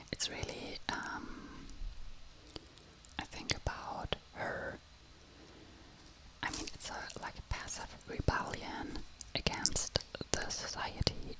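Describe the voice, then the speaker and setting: Casting: ASMR book reviewer, soft breathy whisper throughout, unhurried and hesitant, feeling for words. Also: rough recording. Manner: halting, searching for words in a non-native language.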